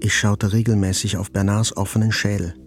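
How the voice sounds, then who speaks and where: An actor playing an attack survivor, telling events in a sober, precise, scripted literary narration. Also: male voice, fairly low pitch, flat delivery, brisk, over music, natural voice. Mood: sober, detached.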